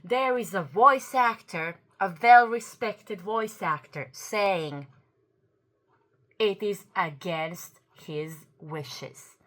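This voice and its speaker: A woman's voice: medium pitch, drawn-out words, a bit bright. A native Croatian-speaking woman giving an earnest opinion commentary to camera. She often searches for words and corrects herself as she goes. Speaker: YouTube commentator.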